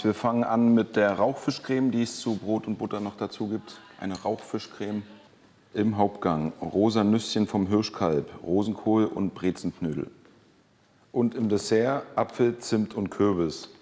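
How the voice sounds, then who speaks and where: A man briefing the service staff of a hotel restaurant before an evening event, in a brisk, practical way. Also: on the low side, a little mellow, big-room sound.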